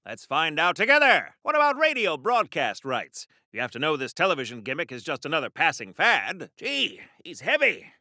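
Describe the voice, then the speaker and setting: A man's voice: on the high side, huge pitch swings, moderate pace, few pauses, sharp tone, no room sound, studio quality, exaggerated voice. A man playing a chatty, pompous wartime comic-book hero in a video game, with flowery diction in a theatrical 1940s radio serial style.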